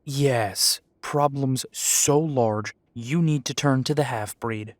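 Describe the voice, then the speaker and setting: A man's voice: medium pitch, slow pace, dry recording, lightly acted. A man playing a guarded, self-doubting young half-breed werewolf in an audio drama, blunt and defensive. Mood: bitter. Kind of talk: scripted roleplay audio drama.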